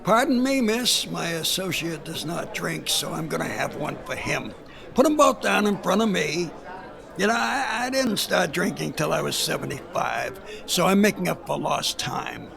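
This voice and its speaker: An actor playing an 85-year-old retired police chief, who tells his story slowly with emphatic repeats. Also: a little high, expressive, a crowd in the background. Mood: tipsy. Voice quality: gravelly, drawling old voice. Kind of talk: radio drama acting.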